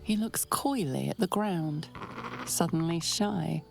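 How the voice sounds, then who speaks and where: A fantasy game narrator with a deep female voice full of heavy fry. She speaks slowly and deliberately, breathy and hushed, over faint music. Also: animated, exaggerated voice. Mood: wry, gentle. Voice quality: breathy, hushed, often near a whisper. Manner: measured, deliberate storytelling. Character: female storyteller narrating a dark fantasy adventure. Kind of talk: scripted second-person fantasy game narration.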